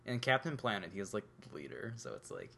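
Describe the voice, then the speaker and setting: A man's voice: lowish voice, huge pitch swings, fast talker, creaky, a bit bright, slight background noise. A young man co-hosting a podcast, chatting casually and rambling with lots of filler words. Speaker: podcast co-host. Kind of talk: casual chat.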